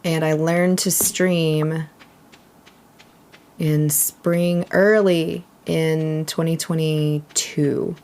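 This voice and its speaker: A woman in her thirties from Texas chatting casually and relaxed while streaming a game, reading chat aloud. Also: low-pitched, extremely slow, slight background noise.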